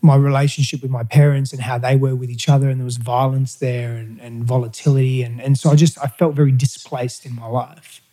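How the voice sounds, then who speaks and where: A middle-aged man, whose was Greek, recounts his past as an interview guest. His voice is mellow and dark, and he talks candidly, often pausing and restarting. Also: medium pitch, little pitch movement. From Australia. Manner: candid, hesitant, with restarts and fillers. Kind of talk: reflective personal storytelling.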